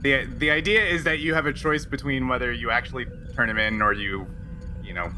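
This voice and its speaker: A man acts as game master in a casual, improvised way. He talks haltingly and restarts his sentences, at a middling pitch. There is clear noise behind him. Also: bright, forceful tone. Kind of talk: improvised tabletop game narration and casual chat.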